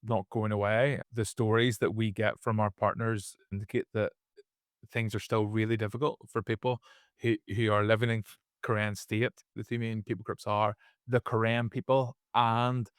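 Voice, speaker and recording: A man's voice: lowish voice, flat delivery, moderate pace, dry recording, studio quality. A man with a Northern Irish accent co-hosting a casual podcast, explaining topics with frequent small restarts. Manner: explanatory, repeats words when restarting. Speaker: podcast co-host.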